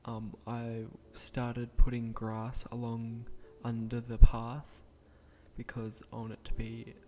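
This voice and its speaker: A young man with a croaky voice, speaking quietly at night in a hesitant, rambling way with frequent restarts as he narrates a game build. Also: medium pitch, monotone, takes it slowly, soft background music. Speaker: gaming channel creator.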